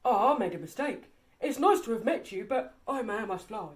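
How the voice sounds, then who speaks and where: A woman's voice: on the high side, expressive, fairly quick, pitched below the performer's own voice, lightly acted. A woman voicing a mean, greedy male dragon from a children's storybook, with a menacing manner. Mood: flustered.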